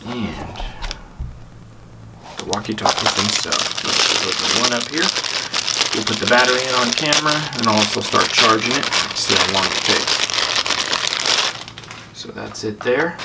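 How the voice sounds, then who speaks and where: A man's voice: lowish voice, animated, unhurried, pauses often. A man hosting a casual unboxing review, talking informally and thinking aloud as he handles things. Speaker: gadget review channel host. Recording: distant mic, clearly noisy, handling crinkle.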